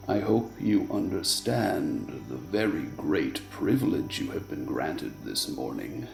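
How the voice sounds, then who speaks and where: A man's voice: low voice, creaky, reverberant, full character voice. An actor playing a haughty, sinister fae king in a radio play, with theatrical, drawling disdain.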